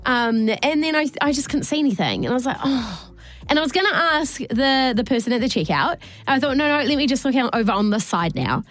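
A woman's voice: high voice, very animated, a little fast. A woman co-hosting a radio show, telling a rambling story in casual on-air chat, laughing often, her voice a little worn. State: slightly losing her voice. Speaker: radio co-host.

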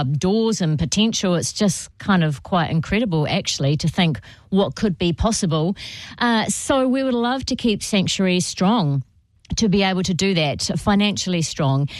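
A woman's voice: medium pitch, dry recording. A woman hosting a radio fundraising drive, upbeat and persuasive. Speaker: radio host. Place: radio studio.

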